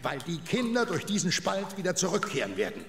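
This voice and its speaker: A feisty, indignant old man from a children's radio play. His high, creaky voice swings wildly. It sounds in a noisy, echoing hall. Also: sing-song, a little fast, heavily acted. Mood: indignant, agitated. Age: older. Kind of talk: German children's audio drama acting. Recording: echoey hall with noisy background.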